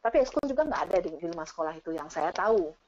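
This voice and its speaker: A woman giving casual, animated explanations as she answers audience questions on an online call. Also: on the low side, very fast, slight background noise. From Indonesia. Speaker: teacher and speaker sharing her experience. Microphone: online video call. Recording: dull, lo-fi online meeting audio.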